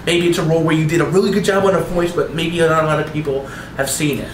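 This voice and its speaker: A man's voice: fairly high pitch, fairly even intonation, quick pace. A man interviewing a guest at a convention, asking questions in a playful, joking way. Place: busy convention hall. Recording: echoey, distant, noisy convention ambience.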